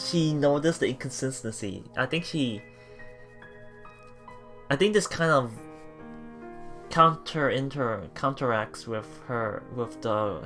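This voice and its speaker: Male let's play host, bright mid-range voice, halting read-aloud with casual commentary, soft music behind. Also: mid-range voice. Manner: halting, rereads and repeats words. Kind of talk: reading game text aloud with casual reactions. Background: game music.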